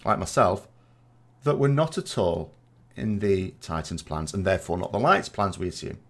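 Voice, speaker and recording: A man hosting a vodcast, giving unscripted opinion commentary in a discursive way, reasoning aloud with rhetorical questions and asides. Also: medium pitch. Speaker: vodcast host, gaming lore commentator.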